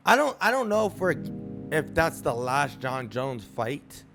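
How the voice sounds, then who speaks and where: Animated man ranting on his vlog. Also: a little high, unhurried, vocal fry, soft background music. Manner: animated, repeats phrases for emphasis. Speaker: sports commentary YouTuber. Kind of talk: casual opinion vlog.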